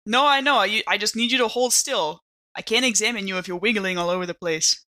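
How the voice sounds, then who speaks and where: A woman's voice: mid-range voice, a little fast, sharp tone, close to an everyday voice. A woman playing a firm, casual ship medic in a tabletop game, reassuring with some exasperation in slangy speech. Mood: firm, exasperated.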